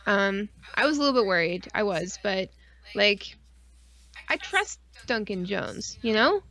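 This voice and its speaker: A woman's voice: mid-range voice, sing-song, unhurried, fairly bright tone. A woman co-hosting a podcast stream, chatting casually in a rambling, informal way with lots of filler 'like'. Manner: rambling and informal, frequent 'like' and mild swearing. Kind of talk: casual chat.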